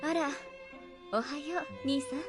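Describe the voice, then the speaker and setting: A woman playing a polite, soft-spoken young female character in a Japanese visual novel. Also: sits high, a little fast, natural voice. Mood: caring, attentive. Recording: game music behind the voice. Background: game music.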